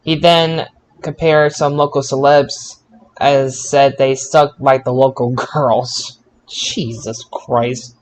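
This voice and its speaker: A man's voice: medium pitch, level tone, slow, a little hiss or hum, poor audio. A man co-hosting a podcast, recapping shows in an informal, bantering way and stumbling over his words now and then. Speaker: podcast co-host. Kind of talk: casual wrestling show recap.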